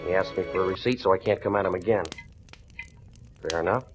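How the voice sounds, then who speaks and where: A man's voice: sits low, quick pace, close to an everyday voice. An actor playing a defiant, slangy murder suspect under interrogation in a 1940s radio crime drama, talking in clipped tough-guy fashion. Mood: cocky, matter-of-fact. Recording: old radio drama recording, faint music.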